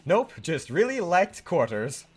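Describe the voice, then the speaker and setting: A man's voice: a little high, big pitch swings, unhurried, slightly rough, soft background music. A young man playing a video game with friends, rambling unsurely and reading game text aloud. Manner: rambling, unsure, laughs a lot. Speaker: let's play host.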